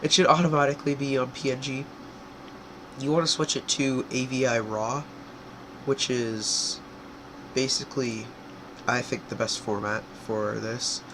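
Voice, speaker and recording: A man's voice: mid-range voice, fairly even intonation, a little slow, long pauses, noisy background. Congested young man rambling through a tutorial. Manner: rambling, filler-heavy, self-correcting. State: congested from a cold. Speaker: tutorial creator. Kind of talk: casual screen-recorded software tutorial.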